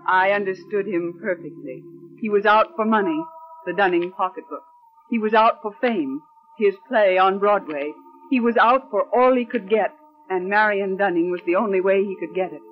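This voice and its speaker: Actress as a young prim secretary, wary and resentful, old radio drama, muffled with music. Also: female voice, medium pitch, moderate pace, lightly acted. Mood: bitter. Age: young adult. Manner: crisp, restrained, bitter narration. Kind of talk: 1940s radio drama acting. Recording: muffled vintage radio recording, organ music.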